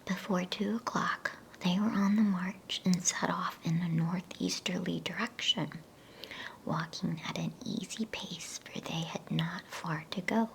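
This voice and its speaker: A woman speaking in a breathy, soothing, unhurried whisper, as in an ASMR bedtime reading. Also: lowish voice, fairly even intonation, slight background noise, poor audio. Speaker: ASMR bedtime story reader. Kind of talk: whispered ASMR reading aloud of a children's novel.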